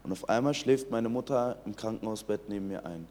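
Young guy from iran telling his faith story, kinda low voice, lots of restarts, long reverb. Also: a little fast, a little mellow. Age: young adult. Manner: earnest storytelling with many restarts. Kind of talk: personal faith testimony.

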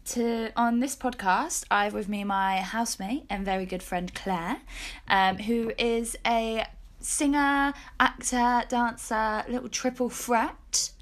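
A woman's voice: fairly high pitch, fairly even intonation, slow. A woman of twenty-two with a British accent, hosting a podcast in an informal chatty way and often correcting herself. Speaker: podcast host. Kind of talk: casual chat.

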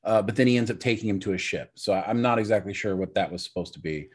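A man co-hosting a podcast, explaining his points in a measured, rambling way in casual chat. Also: on the low side, breathy edge. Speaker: podcast co-host.

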